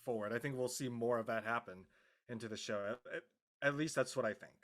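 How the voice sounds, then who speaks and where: A man with a medium-pitched voice talks unscripted as an actor introducing himself and sharing his thoughts. His delivery is deliberate and halting, circling back over words as he looks for the right phrase. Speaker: actor on the show, as a guest panelist. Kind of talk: unscripted discussion to an audience.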